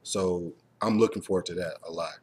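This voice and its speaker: Male host, lowish voice, rambling and enthusiastic, informal review discussion. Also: fairly even intonation, fairly quick, darkish tone, slightly rough. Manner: rambling, enthusiastic, filler-heavy.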